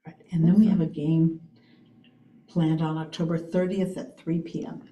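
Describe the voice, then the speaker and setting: A woman giving a loud, chatty update on senior events at a city committee meeting. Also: low voice, little pitch movement, moderate pace, reverberant, lo-fi sound, a little hiss or hum. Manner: loud, chatty, laughs often. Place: city hall meeting room.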